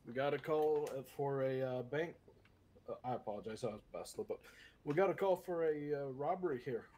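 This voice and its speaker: A man's voice: mid-range voice, a little fast, warm tone. A man speaking politely and a little hesitantly as a police officer in improvised online game roleplay.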